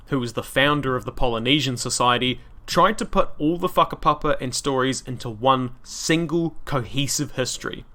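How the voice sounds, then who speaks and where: New Zealand male podcast host, bright timbre, scripted history reads with cheeky asides. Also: medium pitch. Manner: wry, casually irreverent asides.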